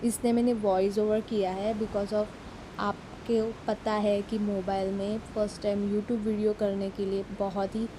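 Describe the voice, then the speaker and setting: A woman's voice: medium pitch, flat delivery, a little slow, background noise. A Marathi-speaking woman from Maharashtra with a Mumbai Hindi accent, chatting through a voice-over of her mobile gameplay, self-deprecating and switching between Hindi and English. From Maharashtra, India. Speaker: mobile gaming YouTuber. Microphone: phone mic.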